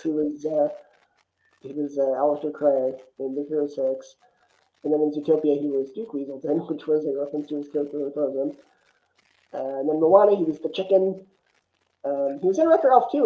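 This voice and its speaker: A woman's voice: low voice, a little fast. A woman talking casually as a film podcast panellist, over a roomy, phone-like connection. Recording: roomy, phone-like narrow sound.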